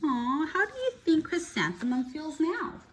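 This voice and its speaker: Slow, expressive woman reading a storybook aloud. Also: high voice, a little hiss or hum. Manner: expressive, explanatory, questioning. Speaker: first-grade teacher reading aloud. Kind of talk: storybook read-aloud with explanations for children.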